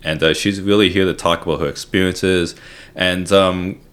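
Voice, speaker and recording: A man's voice: low-pitched, fairly even intonation, moderate pace, a bit bright, clean tone. A man hosting an interview podcast, talking casually and hesitantly with many fillers. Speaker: podcast host.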